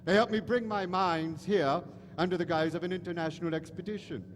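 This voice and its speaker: An actor playing an eccentric alien mad professor, theatrical, gushing and disdainful, callous toward humans, in a live radio play. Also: male voice, high voice, fairly even intonation, a little fast, natural voice. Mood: wistful, scheming. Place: large hall stage at a convention. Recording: echoey hall stage recording, faint noise.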